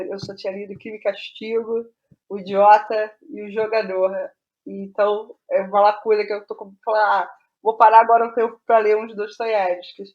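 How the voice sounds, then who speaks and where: A Brazilian woman speaking as a guest playwright in a casual interview, digressive and halting, often restarting her words. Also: mid-range voice, darkish tone, dull audio.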